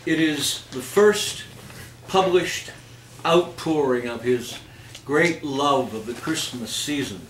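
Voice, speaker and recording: A man reading literary pieces aloud as a host, with measured, declamatory, deliberate phrasing. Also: fairly high pitch, a bit bright. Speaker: reader and host of a holiday readers theater. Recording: echoey room, distant mic, faint noise.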